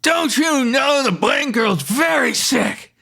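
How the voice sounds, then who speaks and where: Slow, wailing old drunken druggist. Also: female voice, sits high, lively intonation, creaky, a bit bright, full character voice. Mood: furious. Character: drunken, grieving, angry old male druggist. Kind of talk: old-time radio drama parody.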